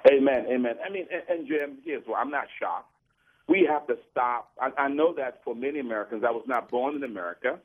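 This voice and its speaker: A man's voice: mid-range voice, a little fast. Cameroon-born male guest, earnest and preachy, phoned in, tinny line. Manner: earnest, preachy, repeats words when starting. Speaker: guest, business program founder. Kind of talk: radio interview talk.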